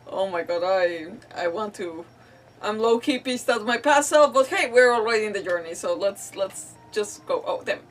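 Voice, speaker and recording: A woman's voice: mid-range voice, expressive, normal speed. A woman streamer giving casual gameplay commentary in a rambling, self-deprecating way. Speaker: gaming streamer. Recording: slightly distant mic, faint music behind.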